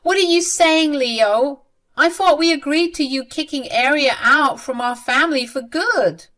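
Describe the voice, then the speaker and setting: Shrill indignant mother-in-law, bossy and scheming, high and loud, woman puts her on. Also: lively intonation, measured pace.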